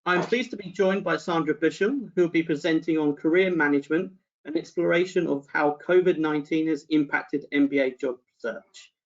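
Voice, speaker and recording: A man's voice: fairly high pitch, a little fast. A man hosting and moderating an online webinar in a polite, organised, fairly formal way. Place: online video call. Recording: dull online call audio.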